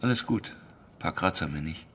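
A man's voice: low-pitched, animated, moderate pace, fairly bright tone, a little hiss or hum. An actor playing a smug, evasive security policeman with a glib, patronising manner in naturalistic radio drama dialogue. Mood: evasive, casual. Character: smug, evasive male state security policeman, falsely jovial.

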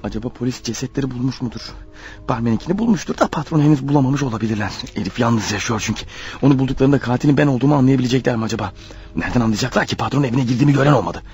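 A man's voice: medium pitch, rapid-fire, darkish tone, soft background music, natural voice. A man acting in a radio play as a panicky, paranoid killer husband on the run, stammering and muttering anxiously to himself. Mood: tense muttering. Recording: dull lo-fi radio drama recording.

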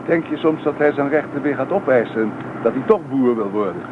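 A man's voice: mid-range voice, expressive, brisk, close to an everyday voice. An actor playing a friendly, reassuring middle-aged man chatting in a Dutch radio play. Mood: friendly, curious. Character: friendly, reassuring older acquaintance giving a lift. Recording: tinny, noisy old radio recording, vehicle noise.

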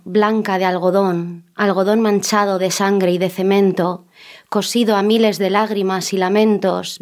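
A woman's voice: mid-range voice, a little mellow. A woman reciting her own poem in a solemn, rhythmic spoken-word style with repeated refrains.

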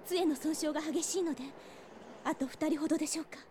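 An actress playing a gentle, polite young cleric healer in a fantasy audio drama, speaking earnestly. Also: female voice, high voice, steady tone, fast talker, a little hiss or hum, close to an everyday voice. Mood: subdued.

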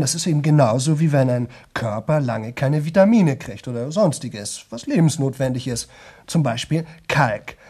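A man's voice: medium pitch, warm tone, husky. An actor playing a chatty young man who rambles nonstop to an audience in a radio play, colloquial, digressive and often interrupting himself. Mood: chatty, musing. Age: young adult. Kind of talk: 1970 German radio drama monologue.

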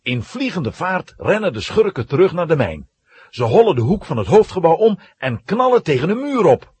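A man narrating a children's radio play with dramatic, suspenseful, animated storytelling. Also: fairly high pitch, moderate pace, husky, dry recording.

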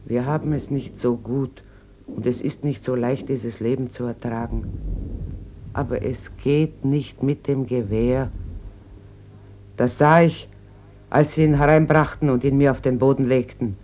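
A woman's voice: rumbling low, steady tone, a little slow, pauses often, warm tone. An actress playing a stern, embittered mother in a radio drama, speaking firmly and without yielding. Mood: anguished, resolute. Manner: firm, unyielding. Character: stern, embittered Spanish mother guarding her family. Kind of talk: classic German radio drama acting. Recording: noisy old radio recording.

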